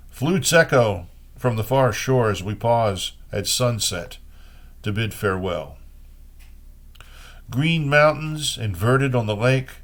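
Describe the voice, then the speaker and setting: Slow-paced man reading poetry aloud. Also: on the low side. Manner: unhurried, pausing between short phrases. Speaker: poetry reader and host. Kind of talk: poetry reading with brief spoken commentary.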